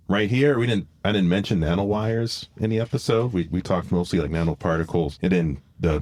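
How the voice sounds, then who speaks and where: Casual podcast host, male, low voice, dry close sound, loose explanatory delivery. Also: darkish tone, dry recording.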